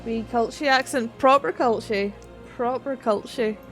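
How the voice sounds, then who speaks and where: A woman's voice: a little high, measured pace, faint music behind. A woman with a rural Northern Irish accent chatting on a gaming livestream, swearing freely and laughing often as she reads chat. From Northern Ireland. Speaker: streamer.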